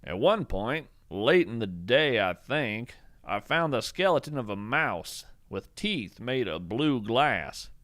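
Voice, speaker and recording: A man's voice: mid-range voice, big pitch swings, slow pace, hardly any pauses, fairly bright tone, no echo, clean studio sound. A man playing a whimsical, earnest naturalist of strange creatures, narrating a fiction podcast in a folksy, musing, theatrical way. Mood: musing wonder.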